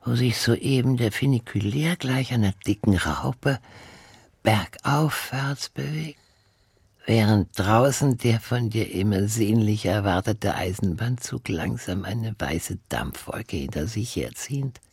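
An older actress playing an elderly former nanny who recalls the past in a reflective, deliberate radio drama monologue. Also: female voice, extremely low pitch, darkish tone, slightly rough, full character voice. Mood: wistful, grave. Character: elderly former nanny, wistfully recalling pre-war Prague.

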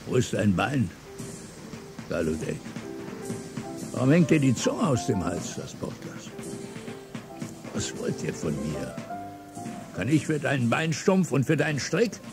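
A bitter, drunken old revolutionary. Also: male voice, mid-range voice, huge pitch swings, a little slow, long pauses. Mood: bitter raving. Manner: declamatory, swinging between mutters and outbursts. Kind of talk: radio play acting. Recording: radio drama with music underneath.

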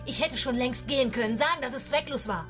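A woman's voice: high-pitched, fast talker, a bit bright, soft background music. An actress in a German radio drama playing a determined woman who pleads urgently and reproachfully for a project. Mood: resigned, firm. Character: determined, pleading female envoy of a space project.

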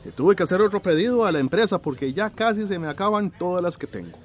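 An actor playing an eager, chatty small-town shopkeeper in a radio drama, gossipy and Central American in accent. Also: male voice, high voice, very animated, fast, soft background music. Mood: upbeat.

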